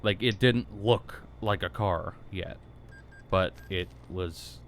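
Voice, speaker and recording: A man's voice: deep voice, slow, slight background noise. A young man co-hosting a podcast, rambling and joking in casual chat, stumbling for words. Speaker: podcast co-host.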